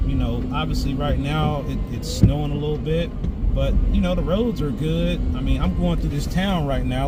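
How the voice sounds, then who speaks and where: A man with a slightly hoarse, dry voice chats casually and rambles to livestream viewers as host while driving in a car. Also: medium pitch. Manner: rambling, conversational asides to viewers. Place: inside a moving car on country roads. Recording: loud car and road noise. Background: car driving noise.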